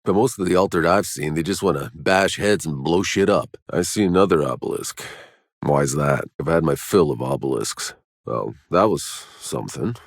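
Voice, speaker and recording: A man playing a gruff, wry, battle-worn soldier in a sci-fi video game. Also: rumbling low, lively intonation, normal speed, no room sound, studio quality, heavily acted. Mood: sardonic, casual. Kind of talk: video game voice lines, dramatic acting.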